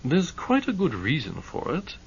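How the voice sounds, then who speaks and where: A man voicing a composed, measured male lawyer, on a muffled lo-fi recording. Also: a little high, huge pitch swings, a little hiss or hum, voice pushed up. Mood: calm. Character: calm, smooth-talking male lawyer.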